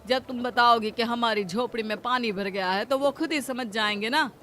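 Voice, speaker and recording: A woman voicing a caring, brave village husband in an animated moral story, earnest and protective. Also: fairly high pitch, normal speed, a little hiss or hum. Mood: concerned.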